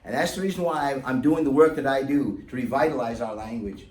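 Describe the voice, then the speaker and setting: A man's voice: mid-range voice, a little fast, a little mellow. A man giving an impassioned, emphatic public talk to an audience, his voice sweeping up on key words. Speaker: guest speaker. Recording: echoey hall, mic far off, faint noise.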